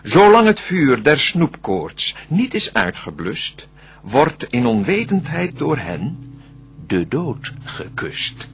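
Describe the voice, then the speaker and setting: An actor playing a cunning, smooth-tongued devil in a Dutch verse radio drama, declaiming deliberately with a husky voice. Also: male voice, fairly high pitch, wildly swooping pitch, fairly bright tone, heavily acted. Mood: slyly plotting. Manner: theatrical, scheming, deliberate declamation. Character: cunning, smooth-tongued male devil plotting to tempt mankind.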